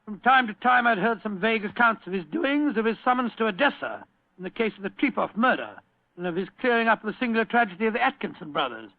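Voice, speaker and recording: A genial, fussy British gentleman storyteller in an old radio play. His voice is high and crackly, and he blusters and repeats himself. The sound is thin and phone-like. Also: lively intonation, fast talker. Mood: reminiscing, genial. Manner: blustering, hesitant, repeats words. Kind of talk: vintage radio drama acting.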